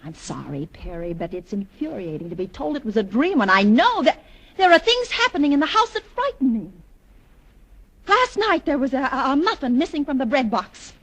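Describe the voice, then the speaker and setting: A woman playing an anxious, defensive secretary who lives alone, in old-time radio mystery drama, with fretful, halting, dramatic delivery. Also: high-pitched, sing-song, a bit bright, exaggerated voice. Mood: agitated, indignant. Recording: vintage radio drama with music.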